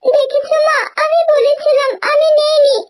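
A woman's voice: very high voice, level tone, normal speed. A woman voicing a mistreated, meek and kindly young stepdaughter in a fairy-tale cartoon, in a pleading manner. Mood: aggrieved. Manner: pleading and obedient.

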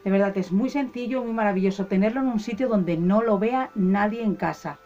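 A woman calmly explains a home ritual step by step, talking to her viewers as friends. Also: mid-range voice, fairly quick, highs cut off. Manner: calm, instructive, addresses viewers as friends. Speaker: ritual channel host. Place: indoors at a table, hands only in shot.

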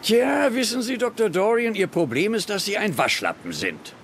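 An actor playing a spiteful bully at a hospital in a dubbed TV comedy, taunting and mock-menacing. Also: male voice, sits high, big pitch swings, normal speed, slight background noise. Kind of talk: German TV comedy dub acting.

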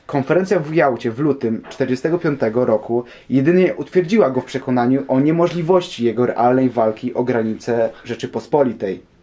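A man's voice: mid-range voice, fairly quick, warm tone. A young man giving scripted history commentary as a guest on an audio programme.

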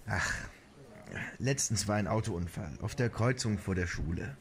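A man's voice: fairly low pitch, a little slow. A man playing a laid-back, mildly grumbling police officer in an amateur audio drama. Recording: noisy track with birdsong and ambience.

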